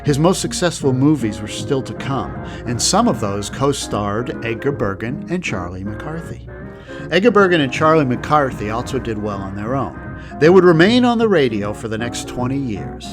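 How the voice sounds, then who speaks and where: A man's voice: mid-range voice, big pitch swings, normal speed. Male documentary host over music. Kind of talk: scripted documentary narration.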